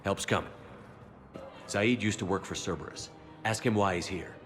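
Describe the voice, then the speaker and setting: An actor playing a calm military commander in a video game, steady and reassuring. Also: male voice, low-pitched, normal speed, soft background music. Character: calm male commander guiding a captive. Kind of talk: game voice acting.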